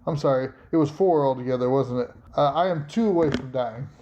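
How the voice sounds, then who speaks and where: A man's voice: mid-range voice, a little fast, mellow, muffled recording. An American man playing a tabletop game, reacting casually with theatrical exclamations and repeated phrases. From United States. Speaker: player in an actual-play podcast.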